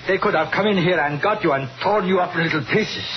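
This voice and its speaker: An actor as a blunt hotel owner, noisy old radio. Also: male voice, high voice, quick pace, muffled recording, close to an everyday voice. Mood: stern, unmoved. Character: unmoved, world-weary male small-town hotel owner. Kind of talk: 1950s radio suspense acting.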